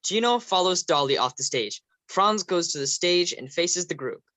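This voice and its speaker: A woman's voice: lowish voice, expressive, moderate pace, fairly bright tone, dry recording. A woman reading stage directions aloud in a plain, matter-of-fact way.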